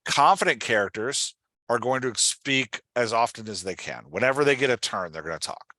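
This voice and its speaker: A man with a bright, forceful voice talks someone through their work like a hands-on coach. His delivery is casual but direct, and he keeps checking for agreement. The sound is close and dry, with very little room. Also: mid-range voice, lively intonation, moderate pace, no room sound. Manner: direct, instructive, often checks understanding. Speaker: podcast host coaching an author on dialogue. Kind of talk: casual one-on-one coaching talk.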